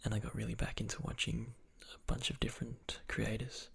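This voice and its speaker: A young man, an ASMR channel creator, answers viewer questions in a soft whisper, rambling with fillers. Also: fairly low pitch, monotone, fairly quick, creaky, slightly rough.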